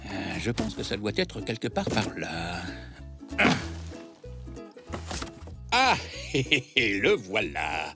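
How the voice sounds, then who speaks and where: An actor playing a kindly, patient, easily muddled old grandpa zebra in a cartoon, with a hesitant, explanatory manner. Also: male voice, on the low side, huge pitch swings, slow pace, long pauses, music in the background, heavily acted. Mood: musing, hesitant. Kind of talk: French children's cartoon dubbing.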